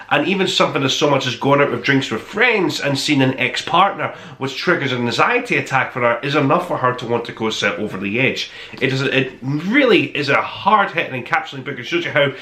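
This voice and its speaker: A man's voice: medium pitch, sharp tone, echoey room. A man presenting a review podcast as its host, talking conversationally and emphatically to his listeners with enthusiasm. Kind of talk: conversational top-five review monologue to listeners.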